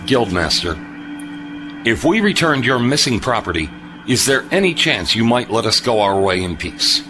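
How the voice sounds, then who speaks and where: A man playing a wry, composed spacefarer who speaks gravely and knowingly in a sci-fi audio drama. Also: medium pitch, very animated, normal speed, over music, close to an everyday voice. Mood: firm, formal.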